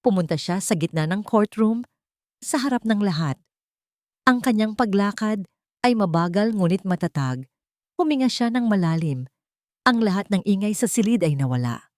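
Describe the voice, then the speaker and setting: A woman's voice: medium pitch, lively intonation, moderate pace, long pauses, a little mellow, dry recording, studio quality. A woman reading a scripted, dramatic love story as its narrator.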